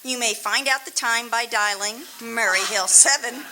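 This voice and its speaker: A polite, efficient information operator, played by a woman who keeps her replies formal. Her voice is bright and a little high. A faint hiss and clicks run under it. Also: normal speed, rough recording. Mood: brisk.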